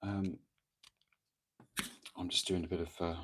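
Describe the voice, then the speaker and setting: A man with a croaky voice from a cold, chatting casually and hesitantly during a morning live stream of his painting, with lots of ums and restarts. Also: deep voice, level tone, measured pace, fairly bright tone. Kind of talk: casual live-stream chat while working. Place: home workspace, early morning. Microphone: microphone near the speaker.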